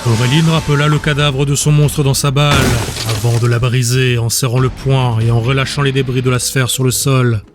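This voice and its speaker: A man narrating a dark fantasy audiobook in a steady, measured storytelling voice. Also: medium pitch, loud music behind the voice. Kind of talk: scripted narration.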